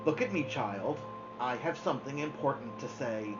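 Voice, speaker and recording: A woman voicing a stern, solemn elderly grandmother in a children's story, speaking gravely and deliberately. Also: extremely low pitch, animated. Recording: dull lo-fi sound, music behind.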